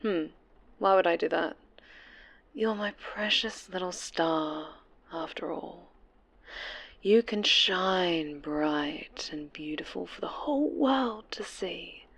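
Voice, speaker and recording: A woman playing an obsessive, menacing supervillain stalker in a yandere ASMR roleplay, breathy and often whispering, with a syrupy, taunting delivery. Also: lowish voice, slow, a bit bright, full character voice. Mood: possessive, adoring.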